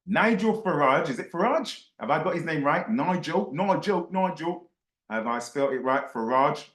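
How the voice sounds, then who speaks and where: A man's voice: a little high, animated, normal speed, fairly bright tone. A man giving impassioned off-the-cuff commentary to his followers, emphatic, repeating phrases and throwing rhetorical questions at listeners. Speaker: book club host. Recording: roomy, echoey sound.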